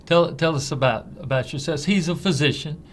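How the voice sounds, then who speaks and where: A man hosting a TV interview show, asking questions in a halting way and often repeating his words. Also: a little high, slight background noise. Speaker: host.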